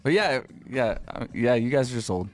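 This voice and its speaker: A man's voice: medium pitch, animated, normal speed, soft background music. A man in his late thirties co-hosting a radio chat, teasing the others playfully. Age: 30s. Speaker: radio co-host. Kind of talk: casual studio chat. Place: radio studio.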